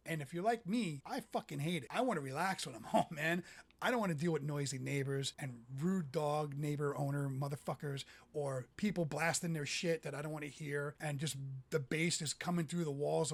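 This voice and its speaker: A middle-aged man from the United States talking in a casual vlog, blunt and opinionated, swearing freely as he rambles. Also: fairly high pitch. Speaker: vlogger. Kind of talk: casual, profane monologue.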